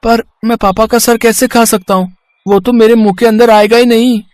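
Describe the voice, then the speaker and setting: A woman's voice: fairly high pitch, fairly even intonation, moderate pace, darkish tone, no echo, natural voice. A woman voicing a curious, naughty five-year-old boy in a moral story. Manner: childlike, eager, pestering.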